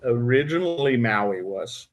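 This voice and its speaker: Middle-aged male host, mid-pitched, chatty but stumbling, many restarts. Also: animated. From Utah. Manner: halting, many fillers and restarts. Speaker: podcast host. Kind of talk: casual interview chat.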